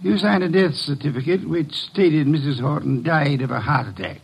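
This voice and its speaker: An imperious American detective from classic radio mystery. The voice is gravelly and rough, pitched a bit high for a man. He speaks with pompous deliberation and dry sarcasm, probing and shrewd. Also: normal speed, low-quality recording. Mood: accusing, deliberate. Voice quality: gravelly, husky. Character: shrewd, imperious male detective, brilliant and dryly sardonic. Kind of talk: old-time radio detective drama acting.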